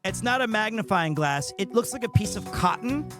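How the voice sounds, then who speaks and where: A man's voice: high voice, lively intonation, normal speed. A man chatting casually as a game streamer during a livestream, commenting on play. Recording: loud game music behind.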